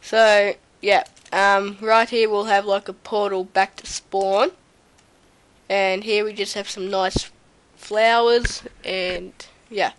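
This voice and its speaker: A young woman with a slight cold, slowly touring her game server with many pauses and fillers. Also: mid-range voice, little pitch movement, clear, resonant tone. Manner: hesitant, many ums, slow and rambling. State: slight cold, runny nose and cough. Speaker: server owner giving a tour. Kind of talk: casual gameplay commentary. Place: home room at a computer. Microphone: desk mic near the keyboard, typing audible.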